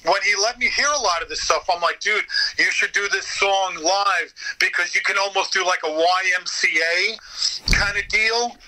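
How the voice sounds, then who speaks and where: A man's voice: high-pitched, normal speed, bright, forceful tone, rough recording. A man speaking as an interview guest in casual, enthusiastic chat, swearing freely. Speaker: podcast guest, voice actor.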